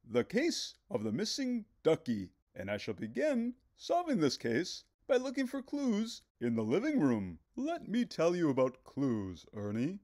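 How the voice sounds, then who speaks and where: A woman voicing a pompous, excitable male detective who declaims grandly in a children's storybook. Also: deep voice, unhurried, hardly any pauses, dry recording, clean studio sound, pitched above the performer's own voice, exaggerated voice. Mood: lecturing. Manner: theatrical, declaiming, self-important.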